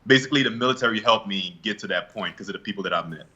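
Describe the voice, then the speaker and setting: A young man from Guyana answering interview questions in a relaxed, laid-back way with hesitant fillers. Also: lowish voice, racing, breathy edge, lo-fi sound. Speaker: interview guest, bodybuilder.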